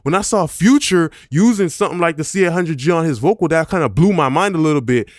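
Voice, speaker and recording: Man, music producer, casual gear review, slangy, filler-heavy, fairly high voice, dry close sound. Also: expressive, fast talker, no room sound. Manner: loose, conversational, many "you know" fillers; slangy, informal grammar. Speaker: music producer and mixing engineer.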